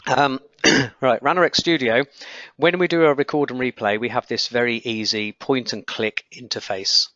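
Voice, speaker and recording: A man with a croaky voice, teaching a live webinar on software testing, explaining as he clicks through screens with frequent okays and ums. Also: mid-range voice, steady tone, normal speed, dry recording, highs cut off.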